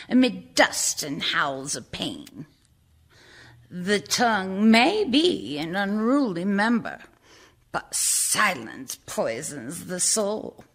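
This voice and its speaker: A woman's voice: mid-range voice, fairly bright tone, exaggerated voice. A woman acting an outspoken, unrepentant dead villager, declaiming a defiant verse monologue for radio. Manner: emphatic, declamatory.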